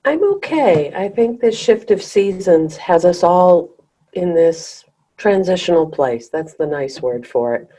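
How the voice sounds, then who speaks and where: A woman's voice: fairly low pitch, expressive, unhurried, dark tone, low-quality recording. A woman co-hosting a spiritual talk show, thoughtful and agreeable in casual chat. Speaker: co-host.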